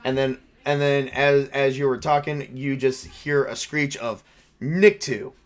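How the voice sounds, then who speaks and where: A man narrating a tabletop roleplaying game casually, with animated, informal storytelling as game master and player. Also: medium pitch, a little slow.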